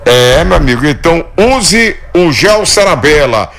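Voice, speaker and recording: A man hosting a live show, pitching a product in a folksy, chatty, sing-song patter. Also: very animated, a little slow, gravelly, a bit bright. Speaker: show host.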